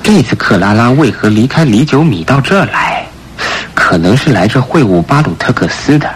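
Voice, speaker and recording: A man acting a shrewd, authoritative police chief in a Mandarin radio drama, speaking firmly and deliberately. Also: mid-range voice, expressive, a little hiss or hum, lightly acted. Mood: confiding, analytical.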